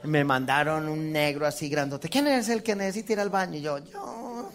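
A Colombian priest with a Colombian Spanish accent, preaching a testimony to a congregation, telling the story with acted-out quotes and laughing at himself. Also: male voice, a little high, normal speed.

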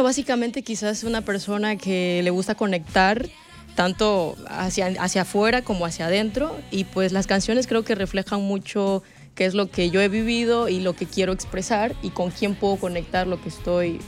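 A woman with a Salvadoran Spanish accent speaks as an interview guest over faint music. Her voice is mid-pitched, and her delivery is casual, chatty and giggly. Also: moderate pace.

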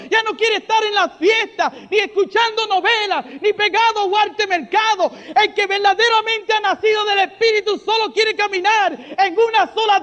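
A man preaching a charismatic sermon, fervent and rising to shouted refrains, with joking asides and soft laughs. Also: extremely high pitch. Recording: reverberant hall, dull lo-fi sound.

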